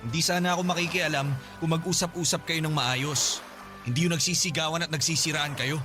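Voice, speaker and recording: A man's voice: a little high, fairly even intonation, fairly quick, music in the background, natural voice. A man acting a respectful young nephew in a radio drama, politely but firmly reproaching his elders. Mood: firm, upset.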